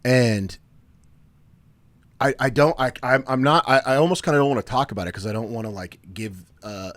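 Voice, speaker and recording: A man in his thirties hosting a casual podcast chat, often restarting his sentences and trailing off quietly. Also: medium pitch, moderate pace, dry recording. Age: 30s. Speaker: podcast host.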